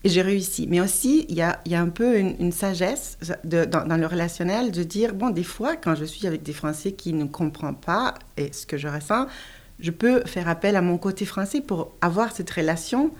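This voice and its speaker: A woman's voice: lowish voice, expressive, normal speed, a little mellow. A woman from Argentina, an intercultural coach, answering interview questions in a reflective way, often repeating words as she searches for them.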